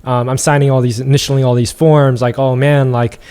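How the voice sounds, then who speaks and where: A man's voice: medium pitch, level tone, fairly quick, darkish tone, clean tone. A young man, a podcast guest, talking casually and rambling with lots of fillers and restarts. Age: young adult. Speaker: podcast guest, apparel brand founder. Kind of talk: casual interview conversation.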